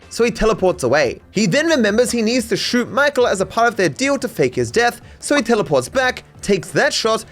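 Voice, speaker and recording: High-pitched, lively Australian man narrating. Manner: dry, mock-serious sarcasm. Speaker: video creator and narrator. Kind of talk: scripted comedic video essay narration.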